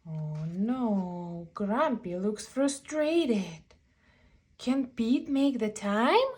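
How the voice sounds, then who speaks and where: A woman narrates a children's storybook at an unhurried pace. Her voice sits fairly high and swings widely in pitch. She stretches out phrases for emphasis as she reads. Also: expressive, darkish tone, breathy edge. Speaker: children's book reader. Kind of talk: animated read-aloud picture book narration.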